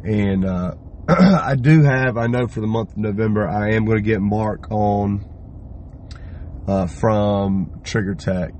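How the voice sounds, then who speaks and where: A hoarse man hosting a podcast, talking through a loose, rambling solo update and swearing casually. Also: on the low side, takes it slowly, darkish tone, slight background noise. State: hoarse, lost voice from yelling. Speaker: podcast host.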